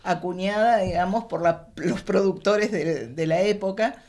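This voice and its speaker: A woman's voice: mid-range voice, over a noisy crowd. A middle-aged woman with an Argentine accent giving reflective interview answers, searching for words and stressing key points. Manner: halting, searching for words, emphatic. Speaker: radio theatre specialist, interview guest.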